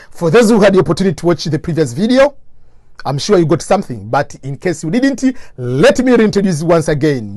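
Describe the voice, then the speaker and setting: Higher-voiced Tanzanian man, motivational speaker. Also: a little mellow, no echo, clean studio sound. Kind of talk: motivational talk to camera.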